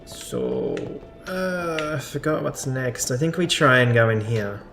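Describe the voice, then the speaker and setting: Gravelly casual male game commentator. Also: mid-range voice, big pitch swings, slow. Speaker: let's play host. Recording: game music behind.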